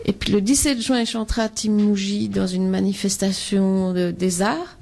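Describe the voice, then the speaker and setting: A woman's voice: medium pitch, vocal fry. A woman co-hosting a radio show, steadily listing concert dates and places. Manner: steady listing, fills pauses with euh. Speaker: radio co-host. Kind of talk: reading out a concert schedule.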